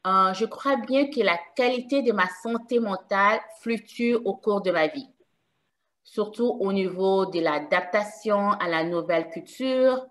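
A woman's voice: lowish voice, fairly bright tone. A woman from Congo, a mother of three, hosting a panel over a video call, speaking with many hesitant fillers. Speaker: panel host. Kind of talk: hesitant moderated panel talk.

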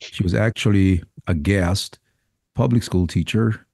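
A man's voice: deep voice, measured pace, vocal fry, no room sound, studio quality. A man, a senior pastor visiting as guest, talking casually and repeating words as he gathers his thoughts. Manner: warm-hearted, repeats words while thinking. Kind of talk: casual podcast conversation.

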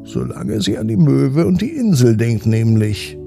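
A man with a German accent narrating a bedtime story for children in a calm, gentle way, speaking straight to the young listeners. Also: medium pitch, measured pace, vocal fry, over music.

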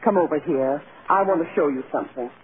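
An actor playing a matter-of-fact, discouraging casting office official in a vintage radio drama. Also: male voice, on the high side, quick pace, close to an everyday voice. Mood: businesslike, discouraging. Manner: businesslike, explanatory. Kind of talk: 1930s-40s American radio acting. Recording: tinny old radio, crowd chatter behind. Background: busy crowd noise.

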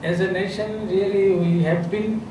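A middle-aged man with a Bangladeshi accent speaks at a podium in a conference room, his slightly high voice thick with echo. He hesitates often and fills pauses with uh as he feels his way through his points. The mic sits well away from him and steady noise runs beneath. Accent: Bangladeshi English. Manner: hesitant, many uh fillers and restarts. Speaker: government health official speaking on a panel. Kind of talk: unscripted panel remarks. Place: conference room with a seated audience. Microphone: podium microphone.

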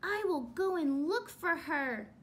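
A woman's voice: high-pitched, animated, takes it slowly, a bit bright, slight background noise, full character voice. A woman voicing a lost baby bird, plaintive and questioning, in a children's storybook. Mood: plaintive, searching. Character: lost baby bird searching anxiously for his mother.

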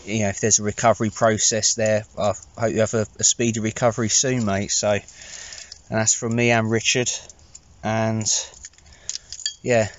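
A man in his thirties with an English accent, chatting casually to camera in a low-key, rambling, informal way as an outdoor vlogger. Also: highs cut off, a little hiss or hum. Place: inside a small tarp shelter outdoors at night.

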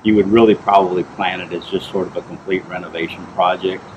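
A middle-aged man, a pool inspector, explains repairs in a plain, measured way with frequent fillers, outdoors by a backyard pool. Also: low-pitched, steady tone, lo-fi sound, background noise. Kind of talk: on-site explanation to camera.

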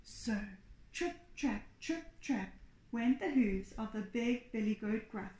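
A woman in her thirties, a teacher reading a children's storybook aloud expressively and deliberately. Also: a little high, lively intonation, darkish tone. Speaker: pre-primary teacher. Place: small bare indoor room. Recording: echoey room.

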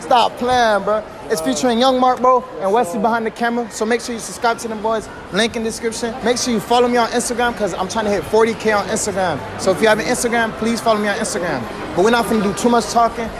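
Young male street host in a noisy mall, high animated voice, teasing and slangy. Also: fast talker, hardly any pauses. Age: young adult. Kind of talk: street interview. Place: indoor shopping mall.

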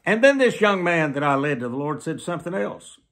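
An elderly man preaching to the camera in an emphatic, deliberate manner, often repeating phrases for weight. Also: a little high, very animated, a bit bright. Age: 70s. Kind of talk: sermon to camera. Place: small plain room indoors.

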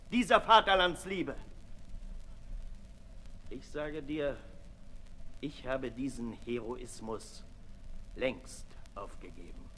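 An actor playing a cynical, mocking aristocratic philosopher, declaiming in a 1960s radio drama. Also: male voice, fairly high pitch, heavily acted. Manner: sardonic, declamatory, swinging from hushed to loud. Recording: reverberant old radio play.

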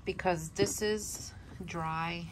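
A woman's voice: fairly low pitch, fairly even intonation, drawn-out words, noisy background. A woman whose first language is Spanish, hosting a casual worm composting vlog at home, chatty and self-laughing, repeating her words as she shows her bin. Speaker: worm composting channel host. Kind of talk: casual show-and-tell vlog.

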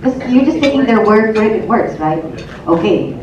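A woman's voice: medium pitch, animated, normal speed, noisy background. A woman hosting a live event on a handheld mic, chatty and laughing easily. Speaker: event host. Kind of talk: live event hosting, English mixed with Tagalog. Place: large indoor event hall. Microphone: handheld microphone. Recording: echoey hall, from far off, muffled, with crowd.

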